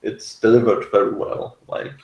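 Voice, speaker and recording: A man in casual review chat as a co-host, speaking in a hesitant, halting way. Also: a little mellow, roomy sound, dull audio. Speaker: podcast co-host reviewing a TV series. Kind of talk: casual review chat, partly reading notes aloud.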